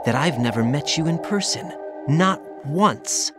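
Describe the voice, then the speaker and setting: A man's voice: fairly high pitch, expressive, measured pace. An actor playing an earnest, bewildered young male soldier in a dramatic video game scene. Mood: uneasy. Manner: questioning, incredulous, earnest. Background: music.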